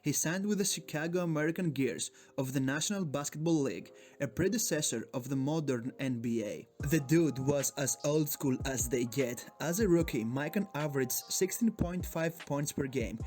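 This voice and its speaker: A man's voice: fairly high pitch, moderate pace, hardly any pauses, darkish tone, faint music behind. A man from Greece with a Greek accent, narrating a sports video in a hyped, joking, profane way. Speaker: sports YouTuber.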